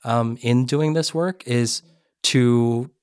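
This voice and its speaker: A middle-aged man, a podcast guest, tells a personal story earnestly in a relaxed interview and often restarts his sentences. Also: mid-range voice, slow pace, clean tone, no room sound, studio quality. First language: Korean. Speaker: podcast guest, education advisor. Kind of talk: conversational interview.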